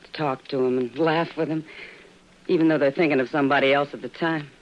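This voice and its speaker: Low, wistful saloon woman, played by an actress. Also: fairly even intonation, a little fast, close to an everyday voice. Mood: gentle, hopeful. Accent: American. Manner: wistful, hesitant, gentle. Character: wistful, warm-hearted frontier saloon woman. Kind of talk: 1950s radio western acting. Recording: muffled old radio recording, some hiss.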